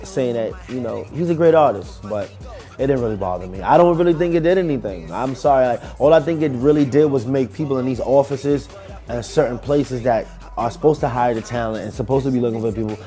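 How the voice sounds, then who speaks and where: A man's voice: mid-range voice, a little fast, soft background music. A young man, a rapper telling loose, rambling stories in a casual interview. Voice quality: smooth. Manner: loose, rambling, animated storytelling.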